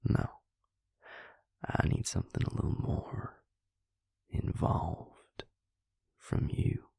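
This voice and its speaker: A man playing a devious, smug male vampire in an intimate audio roleplay, taunting his victim slowly in a breathy, gravelly whisper. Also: mellow, no echo, close to an everyday voice. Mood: sly, taunting. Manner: slow, taunting, self-satisfied.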